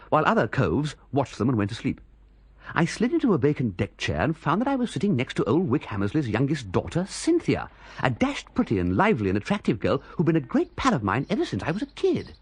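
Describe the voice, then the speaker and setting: An actor playing an amiable, dim young English gentleman with an upper-class accent, breezy and chatty, in a radio drama. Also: mid-range voice, slightly rough. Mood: jaunty narration. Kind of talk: radio drama acting and narration.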